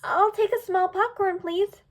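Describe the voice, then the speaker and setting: A woman's voice: extremely high pitch, unhurried, pitched above the performer's own voice. A woman voicing an eager, easily distracted young female pony in a storybook reading. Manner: eager, pleading, bubbly.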